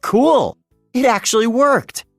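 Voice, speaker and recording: A storybook narrator voicing a brash, excitable boy who gushes and exclaims. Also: mid-range voice, slow, creaky, dry recording, soft background music, pitched above the performer's own voice, full character voice. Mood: delighted.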